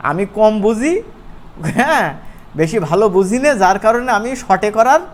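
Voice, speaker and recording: A man gives a casual, chatty software tutorial in an informal, colloquial way, often checking in with his listeners. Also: high-pitched, expressive, normal speed. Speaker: animation course instructor. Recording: clear background noise.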